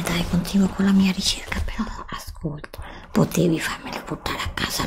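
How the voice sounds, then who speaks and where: A woman playing a curious, stubborn student in a whispered ASMR roleplay, hushed, insistent and pleading. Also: lowish voice. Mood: pleading, resigned.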